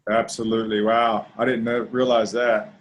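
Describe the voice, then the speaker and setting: A man's voice: fairly low pitch, little pitch movement, normal speed. A man hosting a casual panel talk, upbeat and encouraging toward his guests. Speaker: host.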